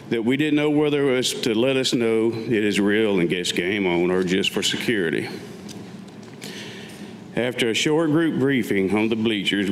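Older man, fairly deep voice, staged story reading, wry asides, reverberant hall, lectern microphone. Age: older, about 70. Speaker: Vietnam veteran reading his own piece. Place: public meeting room with audience, indoors. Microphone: gooseneck lectern microphone. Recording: reverberant hall, faint background noise.

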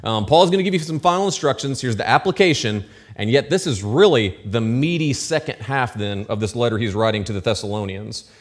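A man in his thirties, a pastor giving a sermon from a church pulpit. He explains the text in a relaxed, conversational way, with asides and quick checks that the congregation is following. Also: mid-range voice. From United States. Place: church stage with pulpit, indoors. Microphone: lectern mic at pulpit.

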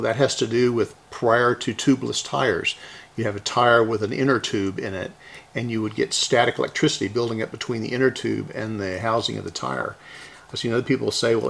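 A man's voice: lowish voice, vocal fry, husky. A middle-aged American man from the Houston area, chatting casually and explaining things in a folksy, musing way. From Houston area, Texas, US. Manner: folksy, musing, thinking aloud. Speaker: hobbyist repair video host.

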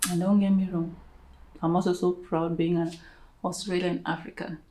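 A woman's voice: fairly low pitch, flat delivery, moderate pace, mellow. Gushing young Kenyan woman in an echoey room. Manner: playful, gushing, self-hyping. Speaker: vlogger. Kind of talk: casual chat to camera. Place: living room indoors. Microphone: camera mic at a distance.

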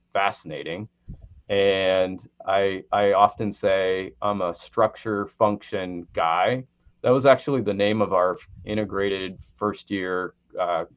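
A middle-aged man from Connecticut, a guest pathologist on a video call, talking in a rambling way with many restarts. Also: deep voice. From Connecticut, USA. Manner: rambling, frequent restarts and repeated words. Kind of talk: conversational interview on a video call. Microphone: headset mic on a video call. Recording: slightly dull video-call audio.

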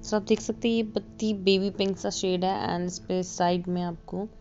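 Mellow woman narrating a nail tutorial. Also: mid-range voice, normal speed. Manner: relaxed, mixes English phrases into speech. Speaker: beauty vlogger. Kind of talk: casual tutorial voice-over. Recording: dull lo-fi voice-over with faint music.

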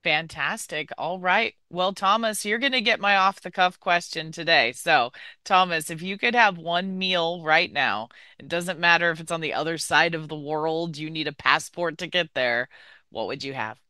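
A woman's voice: on the low side, normal speed, bright, forceful tone, dry recording. A woman hosting a tech podcast, upbeat and enthusiastic as she interviews guests and reads news. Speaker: podcast host.

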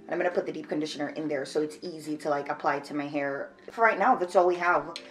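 A woman's voice: deep voice, quick pace, soft background music. A woman in her twenties from Jamaica, chatting casually to camera in a how-to vlog, explaining each step as she works. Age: 20s. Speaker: vlogger. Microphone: camera on a shelf a few feet away.

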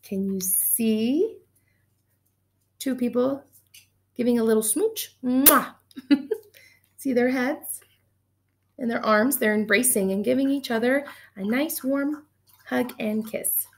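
A woman in her thirties, a teacher reading a picture book aloud to children in an animated, gushing way, recorded on a webcam in a small room at home. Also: fairly high pitch, slow pace, pauses often. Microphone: webcam at desk distance.

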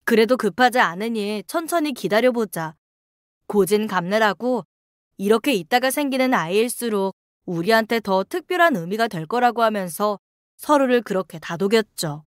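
A woman's voice: fairly high pitch, lively intonation, moderate pace, no echo, studio quality. A woman narrating a scripted first-person story as a radio-style reader.

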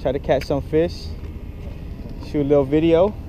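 A man's voice: on the high side, fairly even intonation, moderate pace, warm tone, muffled recording. A man casually narrating his fishing from a rocky harbor shore, muttering to himself as he reels in fish, recorded on a head-mounted camera. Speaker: fishing vlogger. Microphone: head-mounted action camera. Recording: outdoor wind and water noise.

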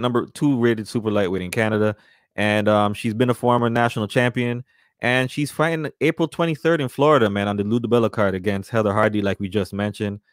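Young guy co-hosting a podcast, lowish voice, dry close mic, laid back, keeps saying man. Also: clear, resonant tone, no echo. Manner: relaxed, says "man" often. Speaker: co-host. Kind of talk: podcast interview. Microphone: large studio mic with headphones.

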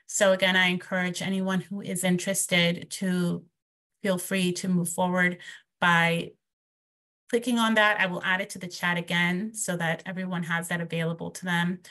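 A woman's voice: on the low side, level tone, moderate pace, a bit bright. A woman presenting a webinar over a video call, explaining programs slide by slide with frequent ums. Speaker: presenter from a financial nonprofit.

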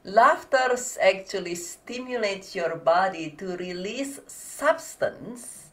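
A woman in her forties with an Indonesian accent, talking to camera in a casual, animated vlog from a small home office. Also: mid-range voice, takes it slowly. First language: not English. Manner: animated, laughing, gesturing with hands. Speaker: motivational vlogger.

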